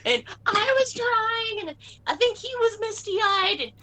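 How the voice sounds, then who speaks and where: A woman's voice: very high voice, a little slow, pushed and bright. A bubbly young woman cosplayer chatting on a podcast, gushing and filling every sentence with "like". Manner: bubbly, gushing, fills speech with "like". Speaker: cosplayer guest. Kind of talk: casual podcast chat.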